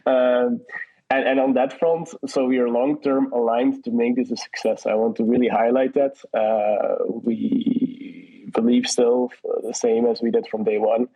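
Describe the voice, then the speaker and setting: A man's voice: medium pitch, little pitch movement, a little slow. A man answering questions in a casual online audio chat, hesitant, with many restarts and fillers. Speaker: guest from a partner project. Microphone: online audio call. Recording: dull lo-fi audio call.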